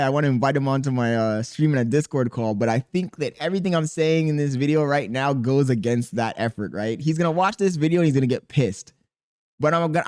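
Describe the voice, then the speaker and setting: A man with a squeaky voice talking casually and profanely to camera as a content creator, rambling and animated. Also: no room sound, clean studio sound. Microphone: podcast dynamic mic.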